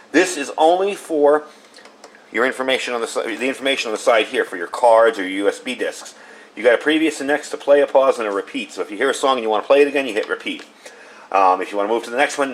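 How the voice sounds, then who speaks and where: A man giving a casual hands-on gadget review, explaining things step by step in an informal way. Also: lowish voice. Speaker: product reviewer. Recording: clear steady background noise.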